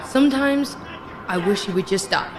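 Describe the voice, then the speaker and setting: A woman's voice: mid-range voice, lively intonation, moderate pace, natural voice. A woman playing a weary, bitter psychiatric ward nurse with an emphatic manner in a horror audio drama. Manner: exasperated, emphatic.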